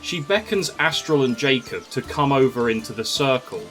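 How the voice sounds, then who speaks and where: Animated male storyteller over clear music. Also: medium pitch, normal speed. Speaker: narrator. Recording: music under the voice.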